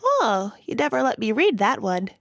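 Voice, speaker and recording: A woman's voice: on the high side, sing-song, normal speed, vocal fry, fairly bright tone, no room sound, studio quality, heavily acted. A woman voicing a caring, frank pony friend, encouraging and candid, in conversational audio drama dialogue. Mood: caring, insistent.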